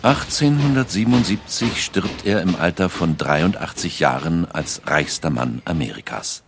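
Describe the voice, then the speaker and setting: A man with a deep voice narrates a scripted documentary. His intonation moves widely as he tells the story in a measured, dramatic way. The sound is dry, with no room around it. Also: dry recording.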